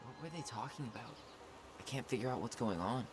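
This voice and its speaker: A man's voice: mid-range voice, fairly quick, loud music behind the voice, natural voice. An actor playing a blunt, sardonic young man in a naturalistic video game. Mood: curious. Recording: clear background noise.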